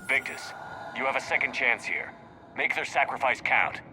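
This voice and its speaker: A man plays a military commander in a video game. His medium-pitched voice is firm, direct and authoritative. Battlefield noise is clearly heard behind him. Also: moderate pace, natural voice. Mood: urging, steady. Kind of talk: video game dialogue acting. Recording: noisy battlefield ambience behind voice.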